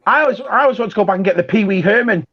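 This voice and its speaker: Excitable high-voiced man, lo-fi audio. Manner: talks fast and excitedly, repeats words. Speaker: co-host. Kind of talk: casual chat on a livestream. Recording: dull, lo-fi stream audio.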